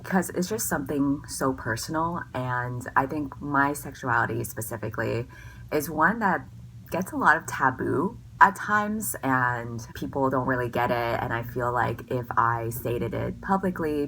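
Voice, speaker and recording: A woman's voice: a bass voice, animated, unhurried, a bit bright, slight background noise, low-quality recording. Tipsy, sarcastic woman in her twenties. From US West Coast. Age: 20s. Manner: sarcastic, swearing, mock-dramatic. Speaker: vlogger. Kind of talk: casual tipsy Q&A chat.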